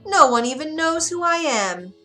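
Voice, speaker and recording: A woman's voice: high voice, very animated, normal speed, pushed and bright, soft background music, voice pushed up, full character voice. A woman voicing a sly, smug little imp man in a storybook tale, with a taunting, emphatic delivery. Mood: gloating.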